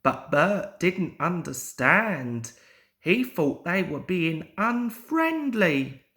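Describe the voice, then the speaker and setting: A young man reading a children's story aloud in a deliberate, expressive storybook manner. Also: sits high. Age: young adult. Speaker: amateur storyteller.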